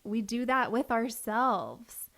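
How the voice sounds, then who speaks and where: A woman's voice: a little high, lively intonation, slow, a bit bright. A woman in her thirties hosting a podcast, talking casually with a guest and using lots of "like" fillers. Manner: chatty, frequent "like" fillers. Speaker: podcast host and business coach. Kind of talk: casual conversation.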